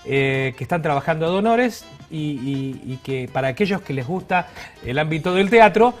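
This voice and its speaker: A man's voice: a little high, lively intonation, moderate pace, soft background music. A man co-hosting a talk show, chatting casually and piecing his phrases together a little hesitantly. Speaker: television co-host. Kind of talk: casual co-host chat previewing segments.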